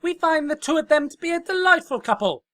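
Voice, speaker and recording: A man's voice: lively intonation, brisk, pushed and bright, no room sound, exaggerated voice. A man voicing a thundering, pompous immortal princess who is a living sofa, speaking archaically in a comic story. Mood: imperious. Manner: booming, pompous.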